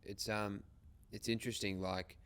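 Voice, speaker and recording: A man's voice: on the low side, flat delivery, normal speed, fairly bright tone, smooth. A man with an Australian accent, hosting a podcast and interviewing in an informal, casual way. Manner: informal, occasional swearing.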